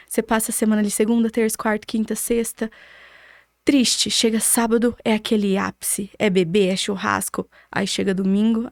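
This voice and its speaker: A woman's voice: medium pitch, creaky, darkish tone, no room sound, studio quality. A woman from Paraná with a Brazilian accent, a podcast guest telling her story casually and reflectively in short listed phrases. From Cambé, Paraná, Brazil.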